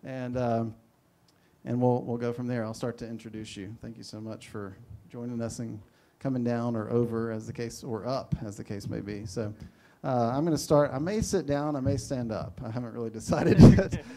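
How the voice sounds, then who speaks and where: A man's voice: mid-range voice, fairly even intonation, normal speed, dark tone. A man moderating a panel, introducing the speakers in a rambling, self-deprecating way. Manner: rambling, self-deprecating, many fillers and restarts.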